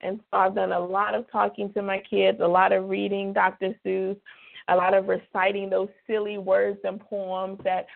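Slightly hoarse woman on a phone line. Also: mid-range voice, completely flat delivery. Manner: chatty, drawn-out emphasis on key words. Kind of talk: casual devotional radio talk. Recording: phone-line call-in radio.

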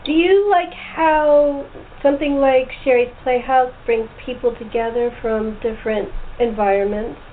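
A woman host chats casually with a group of guests in a fairly high voice. The sound is narrow and phone-like, with steady noise behind her. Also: unhurried, few pauses. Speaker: host and playwright. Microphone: online call. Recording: thin phone-like call with background noise.

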